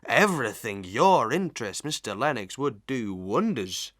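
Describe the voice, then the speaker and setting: A man's voice: medium pitch, very animated, moderate pace, a bit bright, no room sound, studio quality. A man playing a talkative, self-important village fellow in a Victorian melodrama, rambling through proverbs in a wheedling, hemming way. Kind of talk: Victorian melodrama audio acting.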